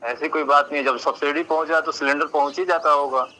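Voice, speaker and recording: A man's voice: fairly high pitch, fairly even intonation, quick pace, sharp tone. A man, an agency employee talking on the phone. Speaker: gas agency employee taking a call. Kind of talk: phone conversation. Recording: noisy phone call with background ambience.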